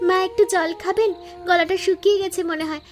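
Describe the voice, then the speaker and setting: A woman acts a young village wife in an animated story. The voice is extremely high and rapid, earnest and caring, with music underneath. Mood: urgent, caring. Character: young village daughter-in-law, dutiful, caring and resolute. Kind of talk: Bengali animated family story dialogue.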